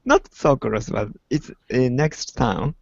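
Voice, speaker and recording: Male student, gravelly, slow halting small talk, lo-fi online call. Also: mid-range voice, darkish tone, no room sound. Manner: halting, short replies, chuckly. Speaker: student in online English class. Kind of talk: casual chat.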